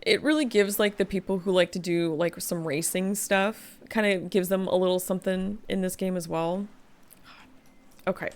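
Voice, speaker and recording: Tired, chatty woman gamer with gravelly voice. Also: lowish voice, steady tone, moderate pace. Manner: chatty, laughs often, reads chat aloud. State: tired and a little sniffly. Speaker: game streamer. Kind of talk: casual gaming livestream chat.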